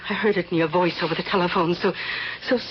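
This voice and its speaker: An actress playing a demanding, spiteful rich old recluse in an old-time radio drama, with an imperious, theatrical delivery. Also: female voice, lowish voice, steady tone, quick pace, lightly acted. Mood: accusing. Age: older. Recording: dull noisy old radio broadcast.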